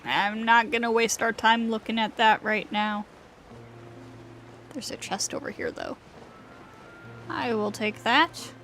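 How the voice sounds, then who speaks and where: A woman's voice: on the high side, lively intonation, takes it slowly, long pauses, a bit bright. A woman giving casual live gameplay commentary as a streamer, with a blunt, offhand way of talking. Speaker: game streamer. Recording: game music behind the voice.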